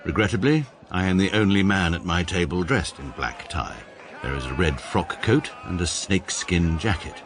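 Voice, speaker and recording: Pompous, very deep-voiced English barrister. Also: male voice, normal speed, slight background noise. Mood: dry, self-satisfied. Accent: upper-class English. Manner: pompous, precise, formal, deadpan. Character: pompous, coldly logical English establishment barrister narrating his life. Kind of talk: scripted first-person narration in radio comedy drama.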